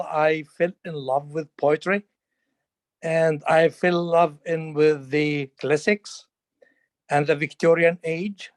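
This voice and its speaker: A man's voice: fairly high pitch, dry recording. A man speaking hesitantly and from the heart in a webinar over a video call. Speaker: webinar participant. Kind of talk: spontaneous remarks.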